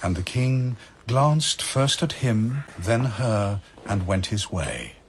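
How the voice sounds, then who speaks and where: A man narrating dramatic verse as a solemn, measured storyteller. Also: fairly low pitch, animated, a little hiss or hum.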